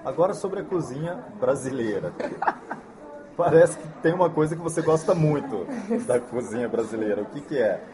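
A man hosting a relaxed interview show, asking friendly, encouraging questions. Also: mid-range voice, moderate pace, crowd noise, poor audio. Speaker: talk show host.

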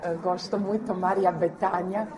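A woman from Croatia speaks as an interview guest in somewhat low-pitched, careful, halting Portuguese that is not her first language. Now and then she slips into Spanish words. A crowd murmurs clearly in the background. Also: fairly even intonation. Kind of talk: casual interview chat.

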